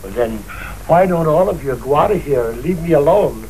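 Exasperated, animated comedy husband. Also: male voice, on the high side, fairly quick. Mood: exasperated, condescending. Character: exasperated husband humoring his mother-in-law. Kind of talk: old film comedy acting. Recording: faintly noisy old film soundtrack.

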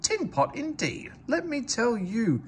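A man's voice: extremely high pitch, huge pitch swings, takes it slowly, slight background noise, pitched above the performer's own voice, heavily acted. An indignant talking train from a storybook, acted by a male reader. It grumbles huffily in a raised, swooping voice. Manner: complaining, huffy. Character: grumbling, indignant steam engines and coaches.

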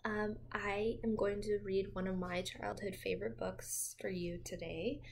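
A woman in her twenties reading a picture book aloud to young children in a gentle, expressive teacher's manner. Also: mid-range voice, gravelly, a little hiss or hum. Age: 20s. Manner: slow, gentle, expressive, addressing young listeners with questions. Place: small home room with windows, indoors.

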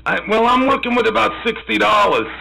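A man playing a sleazy, gravelly, high-voiced haggler. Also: a little fast, rough recording. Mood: sleazy haggling. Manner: sleazy, brash. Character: sleazy, haggling male customer planning a bachelor party. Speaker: prank caller. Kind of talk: prank phone call.

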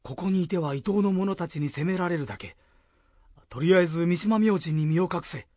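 A man's voice: high voice, animated, brisk, dark tone, no room sound. A man playing a loyal, plain-hearted samurai with humble, formal old-fashioned speech in a period radio drama. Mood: firm. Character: loyal, plain-hearted samurai warrior of medieval Japan, male.

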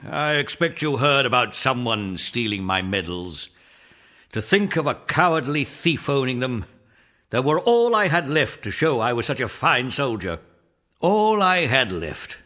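An actor voicing a sad old retired British general with an aged, world-weary voice. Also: male voice, medium pitch, sharp tone.

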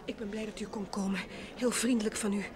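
A woman's voice: medium pitch, fairly even intonation, fast talker, crowd noise, natural voice. An actress playing an anxious, polite married woman in an old Dutch radio mystery. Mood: anxious, insistent. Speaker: radio drama actor.

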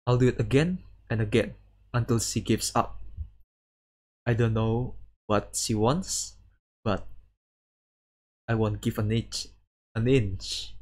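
A man streaming a game, reading the story text aloud in a halting way and adding his own commentary. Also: fairly low pitch, fairly even intonation, a little mellow. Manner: halting, stumbles and repeats words while reading. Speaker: streamer playing a visual novel.

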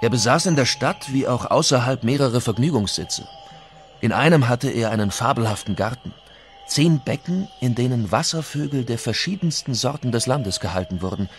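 A man voicing a measured historical chronicler in a dramatised reading of an old account. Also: medium pitch, expressive, close to an everyday voice. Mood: measured, descriptive. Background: music.

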